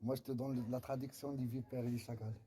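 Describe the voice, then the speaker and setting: A man chatting casually in a non-native language, halting and searching for words. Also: medium pitch, little pitch movement, dark tone, slight background noise. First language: not French. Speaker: vlogger. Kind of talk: casual chat.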